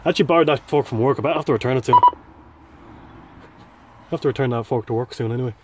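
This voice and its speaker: A man in his thirties chatting and bantering casually for a vlog, jokey, sweary and excitable, recorded on a handheld device inside a van. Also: medium pitch, quick pace. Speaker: vlogger. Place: inside a parked camper van cab, door open. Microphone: phone or camera at arm's length. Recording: noisy handheld recording in a vehicle.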